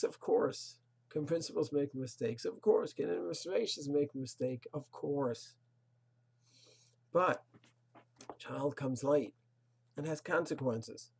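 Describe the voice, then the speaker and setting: A man's voice: fairly high pitch, gravelly, a little mellow, husky. A man, a rabbi, giving a recorded Torah class, earnest and moralizing, posing emphatic rhetorical questions and dropping in Hebrew and Yiddish terms. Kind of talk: recorded religious lecture.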